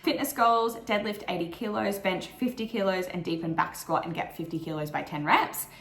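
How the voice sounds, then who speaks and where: Croaky-voiced young Australian woman vlogging. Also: medium pitch, normal speed, fairly bright tone, reverberant. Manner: chatty and upbeat, with frequent "like" fillers. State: croaky morning voice. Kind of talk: casual monthly goals vlog.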